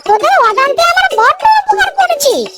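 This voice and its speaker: A man voicing a crafty, excitable farmer in a children's cartoon, melodramatic and full of exclamation. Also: very high-pitched, fairly quick. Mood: teasing, triumphant. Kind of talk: exaggerated children's cartoon acting. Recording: loud music behind the voice. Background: loud children's cartoon music.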